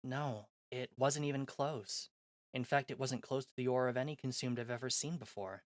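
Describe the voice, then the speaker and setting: Heard in a dry, close recording, the grave girlfriend of a visual novel speaks slowly and evenly, in a mid-pitched voice, as a man reads her part aloud. She is quiet and measured, never rushing her words. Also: no room sound, lightly acted. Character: calm adult woman, magic-sensing girlfriend.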